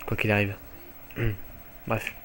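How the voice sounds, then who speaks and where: Excitable French gamer with headset mic. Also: male voice, lowish voice, very slow, slight background noise. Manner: excitable, swearing, jokey asides. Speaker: gaming commentator. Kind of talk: live gameplay commentary. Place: at home.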